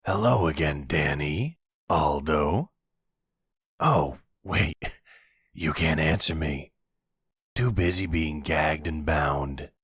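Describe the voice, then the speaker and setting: Man acting a very deep, husky mafia boss. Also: fairly bright tone, no room sound, clean studio sound, exaggerated voice. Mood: calm menace. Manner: slow, menacingly tender, condescending. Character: possessive, delusional middle-aged male mafia boss and husband. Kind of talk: ASMR roleplay acting.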